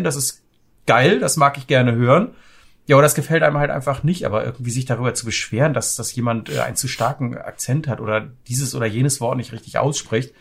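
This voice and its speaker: A man's voice: on the high side, gravelly, fairly bright tone, husky. A man co-hosting a music podcast, chatting casually about metal in a rambling, explanatory way.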